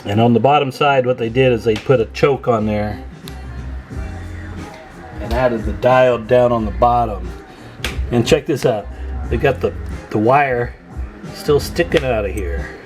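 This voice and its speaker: A man's voice: medium pitch, measured pace, pauses often, darkish tone, soft background music. A man giving an informal repair walkthrough as a technician, thinking aloud while he works. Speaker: radio repair technician, video host. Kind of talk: casual hands-on repair walkthrough.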